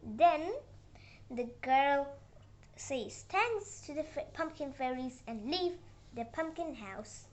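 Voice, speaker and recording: A young girl telling a children's moral tale in a halting way, with simple non-native phrasing. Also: sits high, expressive, darkish tone. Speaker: storyteller. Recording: noisy room with background ambience.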